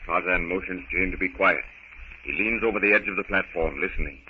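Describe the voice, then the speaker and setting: A man's voice: deep voice, a little fast. A man narrating a scripted 1930s radio drama in a formal storytelling manner. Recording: muffled, noisy 1930s radio recording.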